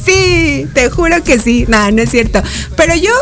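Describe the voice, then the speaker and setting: A woman with a Mexican accent hosting a casual interview, chatty and quick to laugh. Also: high-pitched, expressive, faint music behind. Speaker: host.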